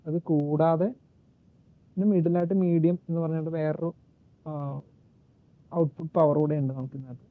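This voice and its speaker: A man giving a casual, unscripted gadget walkthrough in a halting way, searching for words and correcting himself. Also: a little high, flat delivery, no echo. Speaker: gadget reviewer and demonstrator. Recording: muffled phone-like narrow-band sound.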